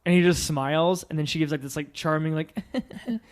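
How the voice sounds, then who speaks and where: A man's voice: fairly high pitch, steady tone, a little fast. A man co-hosting a film podcast, talking casually and loosely, sometimes retelling scenes conversationally. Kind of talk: casual movie discussion.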